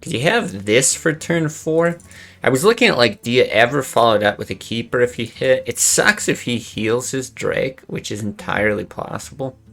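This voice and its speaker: A man's voice: mid-range voice, animated, moderate pace, creaky, a bit bright, soft background music. A young man streaming a card game, chatting casually and thinking aloud, swearing under his breath now and then. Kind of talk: casual gameplay commentary.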